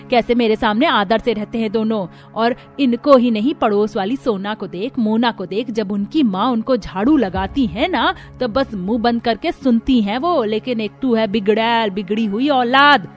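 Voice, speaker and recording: A woman voicing a cruel stepmother in an animated family melodrama, scolding and taunting. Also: on the high side, natural voice. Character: cruel, scornful stepmother bullying her stepdaughter.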